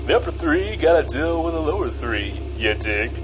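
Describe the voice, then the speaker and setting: A man playing a laid-back, fast-talking trickster devil in an audio comedy drama, slick and slangy, with vehicle rumble behind him. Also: expressive, close to an everyday voice. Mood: patronizing, relaxed.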